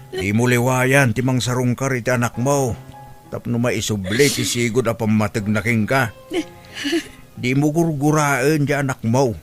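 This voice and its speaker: A man's voice: medium pitch, natural voice. Man acting a concerned Ilocano father, music underneath. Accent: Filipino, Ilocano. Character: concerned older father, sorrowful and gently scolding his daughter. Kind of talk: radio drama acting. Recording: music bed under radio drama dialogue.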